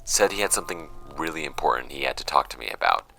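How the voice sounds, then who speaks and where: A very deep, gravelly, troubled young man. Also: animated, bright, forceful tone, soft background music, exaggerated voice, low-quality recording. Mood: wistful, subdued. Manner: hesitant, introspective, halting phrasing. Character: troubled young man recording a confessional personal statement. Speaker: audio drama actor in the lead role. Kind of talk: naturalistic confessional audio drama monologue.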